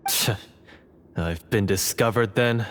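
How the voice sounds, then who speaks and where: A man's voice: fairly low pitch, takes it slowly, fairly bright tone, slight background noise. A man voicing a bitter, treacherous guard in an anime-style game, with a sneering, theatrical delivery. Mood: bitter, resentful. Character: bitter, scornful treacherous male guard and swordsman.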